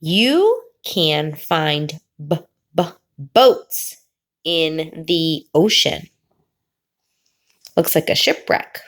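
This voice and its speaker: A woman teacher reading a picture book aloud to children, pausing before key words and explaining the pictures. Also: fairly low pitch. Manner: slow, drawn-out reading with sing-song pauses before the last word.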